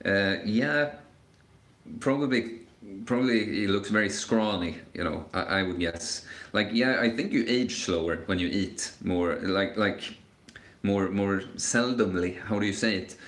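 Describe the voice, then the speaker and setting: A man's voice: lowish voice, measured pace, a bit bright. Rambling young man in an echoey room. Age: 20s. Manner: rambling, hesitant, many fillers and restarts. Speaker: live stream host. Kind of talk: casual live Q&A chat.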